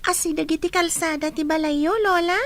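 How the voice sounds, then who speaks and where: A woman's voice: very high-pitched, fairly even intonation, fairly quick, a bit bright, dry recording. A woman playing a caring, timid little girl in an audio drama, with a childlike, questioning manner. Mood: gentle.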